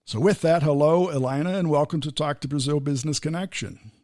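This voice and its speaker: A man's voice: a little high, fairly quick, darkish tone, no room sound. An older American man hosting a business interview podcast from his studio, reading a formal guest introduction and chatting easily. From United States. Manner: formal scripted introduction, then easy conversational interjections. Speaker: podcast host.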